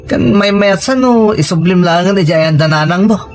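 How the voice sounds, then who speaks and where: A man's voice: high-pitched, normal speed, soft background music. A man with a Filipino accent playing a struggling, hard-hearted carpenter husband in an audio drama, blunt and insistent. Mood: stubborn, insistent. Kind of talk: scripted audio drama acting.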